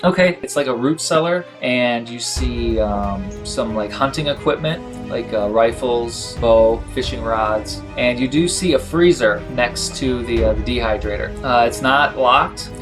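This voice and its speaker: A man's voice: medium pitch, measured pace, few pauses. Male game master with music behind him. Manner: storytelling, conversational. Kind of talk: narrating a tabletop role-playing game.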